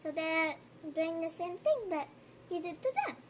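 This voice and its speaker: A woman reading a children's picture book aloud, gentle and unhurried, stopping for friendly asides to her listener. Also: very high voice, lively intonation, a little hiss or hum. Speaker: storybook reader.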